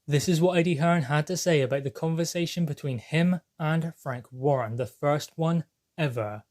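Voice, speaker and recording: A man's voice: a little high, moderate pace, a little mellow. A man presenting boxing news commentary, explaining things in an emphatic, repetitive way.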